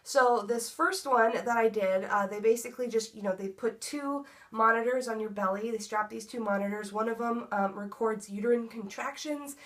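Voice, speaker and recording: A woman's voice: on the high side, normal speed, hardly any pauses, a bit bright. A young woman vlogging a casual update, her voice congested from a cold, chatty with many ums and likes. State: congested, stuffy nose. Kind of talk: casual pregnancy update vlog.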